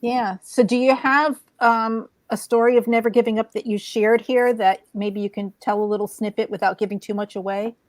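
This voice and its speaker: Upbeat higher-voiced woman host, dry sound. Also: steady tone, moderate pace, hardly any pauses, a little mellow, dry recording. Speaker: interview host. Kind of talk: interviewing authors.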